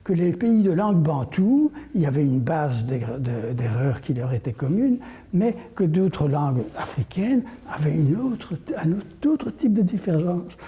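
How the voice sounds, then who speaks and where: An older man answering interview questions with deliberate, detailed explanations, often rephrasing as he goes. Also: high-pitched, dark tone. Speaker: interview guest, language course creator.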